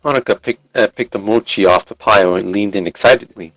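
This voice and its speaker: Low-pitched man narrating a story live. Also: fairly quick, fairly bright tone, dry recording, faint music behind, rough recording. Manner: stumbles and rereads phrases. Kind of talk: live read-aloud narration.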